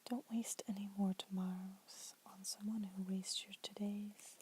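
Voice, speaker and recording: Woman whispering slowly, flat and soft. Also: medium pitch, a little hiss or hum. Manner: soft unvoiced whisper throughout; casual, chatty intro with fillers. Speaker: ASMR creator. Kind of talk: whispered ASMR poetry reading.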